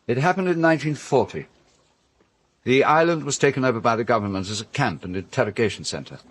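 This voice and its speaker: A grave storyteller in an audio drama. His voice is husky, mid-pitched, and he lays out his dark tale deliberately, with dramatic pauses. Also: animated, no room sound. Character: grave male host recounting a grim wartime tale. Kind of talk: radio drama acting, storytelling.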